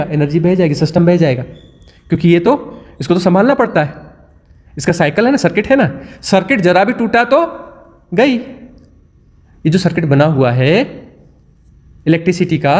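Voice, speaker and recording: A man's voice: sits high, darkish tone. A man with an Indian accent giving a spiritual discourse, asking rhetorical questions and repeating phrases again and again to make his point. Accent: Hindi-speaking Indian. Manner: repetitive, rhetorical questions, chanting phrases to illustrate. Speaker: spiritual teacher. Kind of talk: satsang discourse.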